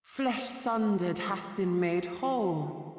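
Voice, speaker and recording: Game deity: imperious divine being, unhurried, archaic and grave, heavy reverberant echo. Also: female voice, medium pitch, animated. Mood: solemn, imperious. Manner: archaic, stately, grave pronouncement. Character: solemn, imperious divine being answering a supplicant's prayer. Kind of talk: dramatic video game cutscene acting. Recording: cavernous echo, distant from mic.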